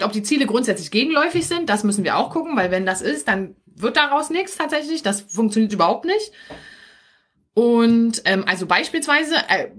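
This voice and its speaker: A woman's voice: medium pitch, fairly bright tone, slightly rough. A woman with a Berlin accent giving a live-streamed coaching talk, chatty and direct, often checking in with viewers. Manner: chatty and direct, tags points with "okay?". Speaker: business coach.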